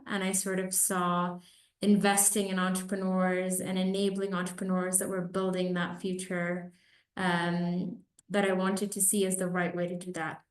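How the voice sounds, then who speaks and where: A woman from Kenya giving conversational answers as an investor being interviewed on a webinar call. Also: medium pitch. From Nairobi, Kenya. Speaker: venture capital investor, interview guest. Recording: roomy echo on webinar call.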